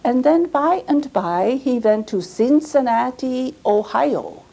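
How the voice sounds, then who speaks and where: A woman playing an earnest Swiss immigrant grandmother in a reminiscing stage monologue, with a Swiss German accent and careful, halting, simple English. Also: high-pitched, animated, vocal fry, dark tone, full character voice. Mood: wistful, resigned. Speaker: storyteller performing a reenactment. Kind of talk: dramatized first-person monologue.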